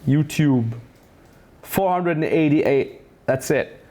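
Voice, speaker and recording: A man's voice: a little high, slow, dark tone. A man with a German accent hosting a casual interview as a business mentor, enthusiastic and full of praise. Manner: enthusiastic, praising, many restarts.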